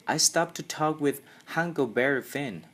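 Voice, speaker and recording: A bold, eager schoolboy as a student narrator plays him speaks plainly with a Thai accent. The pace is slow and the mood is mischievous, as in a storybook reading. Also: mid-range voice, a bit bright, voice pushed up.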